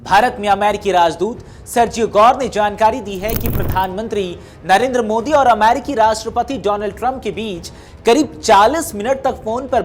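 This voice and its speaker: A woman reading scripted news narration in a formal, measured newsreader delivery. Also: mid-range voice. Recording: music behind the voice. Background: background music.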